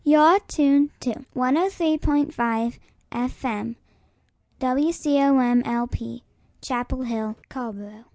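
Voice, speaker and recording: A woman's voice: sits high, takes it slowly, a little mellow, no room sound, clean studio sound. A woman reading a scripted radio station announcement.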